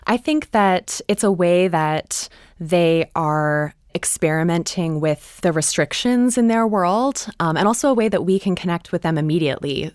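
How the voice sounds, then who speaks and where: A woman's voice: deep voice, dry recording, studio quality. A woman playwright explaining her work thoughtfully in a panel discussion. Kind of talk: panel discussion about a play.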